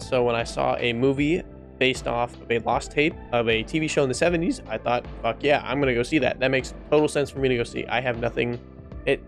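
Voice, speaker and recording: A man's voice: medium pitch, creaky, a bit bright. Casual, sweary man giving his opinion. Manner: informal, profane, repeats himself for emphasis. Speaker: video essay host. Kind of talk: casual opinion commentary to viewers.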